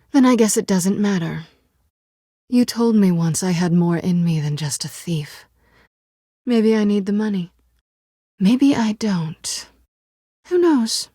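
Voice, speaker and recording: A self-assured female cat burglar from a video game. Her voice sits on the low side and she takes her time over each line. She is sultry, wry and guarded, teasing with a cool confidence, and the sound is dry and close. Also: mellow, dry recording. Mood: evasive, cool. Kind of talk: video game voice acting, dramatic dialogue.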